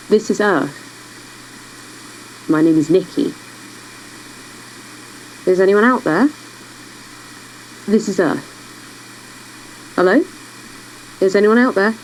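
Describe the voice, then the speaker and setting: A woman's voice: fairly low pitch, slow pace, long pauses, a little hiss or hum, poor audio. A woman playing a lone person radioing out from Earth in an audio drama, calling out repeatedly in a projected voice. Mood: searching, calling out.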